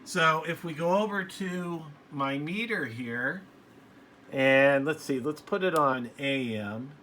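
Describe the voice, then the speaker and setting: A man hosting a hobbyist video, talking casually through a product review in a plainspoken, halting, matter-of-fact way. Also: fairly high pitch, lively intonation, sharp tone. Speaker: radio hobbyist video host. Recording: faint background noise.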